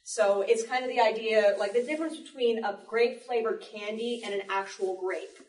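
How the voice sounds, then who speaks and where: A woman's voice: on the high side, moderate pace, a little mellow, distant mic. A woman speaking informally on a panel as a live Foley sound artist, presenting to an audience. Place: public library panel room. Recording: roomy library panel, off-mic.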